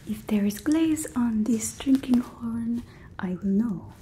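Whispering, slow-talking stern fantasy guard played by a woman. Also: a little high, mellow, slight background noise. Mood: suspicious. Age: 20s. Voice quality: breathy, mostly whispered. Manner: suspicious, sarcastic, unhurried. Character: stern, mocking female fantasy guard searching a thief. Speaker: ASMR roleplay performer. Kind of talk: whispered ASMR roleplay.

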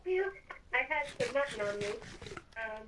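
A woman's voice: on the high side, lively intonation, moderate pace. A woman chatting casually while playing a Minecraft roleplay, recorded on a distant mic with clicks and noise. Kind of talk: casual in-game chat.

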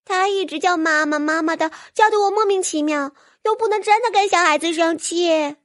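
A woman voicing a lively, easily exasperated young female sprite with pouty, girlish delivery in an audiobook. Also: very high voice, moderate pace, no echo, clean studio sound, pitched above the performer's own voice. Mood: complaining. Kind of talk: audiobook character voice.

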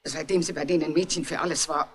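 An actor playing a sharp-tongued, resentful poor village mother, blunt and bossy, in a 1960s radio crime drama. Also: on the high side, steady tone, fast, lightly acted. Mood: bossy, irritated.

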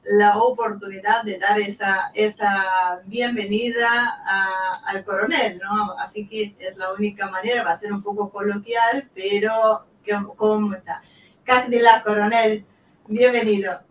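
A woman hosting a live online show, greeting viewers and introducing her guests in a relaxed way. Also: medium pitch, a little slow, echoey room, recorded from a distance, slight background noise, rough recording. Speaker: host. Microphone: headset on video call.

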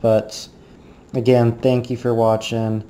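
A man's voice: medium pitch, flat delivery, takes it slowly, mellow. A teenage man, a video creator, talking casually to the camera at home to sign off to his viewers. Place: living room couch at home, indoors. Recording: faint background noise.

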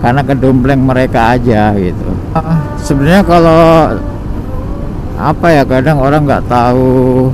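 A young man with a Jakarta slang accent chatting to himself on a night motorcycle ride, rambling and relaxed with many fillers, recorded on a helmet camera. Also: medium pitch, unhurried, music in the background, low-quality recording. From Indonesia. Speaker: motovlogger. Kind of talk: casual solo ride vlog. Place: riding a motorcycle at night on city streets. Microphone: helmet-mounted action camera. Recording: road and traffic noise behind. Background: engine and traffic.

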